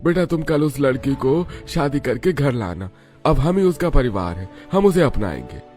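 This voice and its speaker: A man's voice: medium pitch, very animated, moderate pace, darkish tone, soft background music, lightly acted. A man playing a kind, protective father of a poor household in a cartoon melodrama. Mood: protective, reproving.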